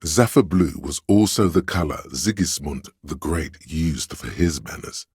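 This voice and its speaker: A man voicing a know-it-all inner voice of encyclopedic trivia in a video game, measured, pedantic and dryly wry. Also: rumbling low, a little mellow, husky, no echo, clean studio sound, exaggerated voice. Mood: measured, erudite.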